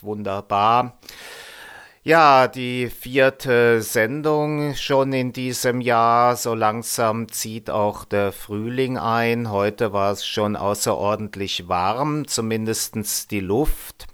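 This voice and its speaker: A man's voice: fairly low pitch, a bit bright, smooth. A man hosting a community radio show over a Skype call, musing in a rambling, casual way and tagging his sentences with little questions, slightly under the weather. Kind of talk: casual solo radio chat. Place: home in a city, remote.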